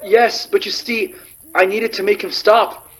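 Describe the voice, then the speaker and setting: An actor playing an anxious, decent Spanish gentleman in a radio drama, pleading and stammering. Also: sits high, flat delivery, a little slow, low-quality recording. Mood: panicked pleading.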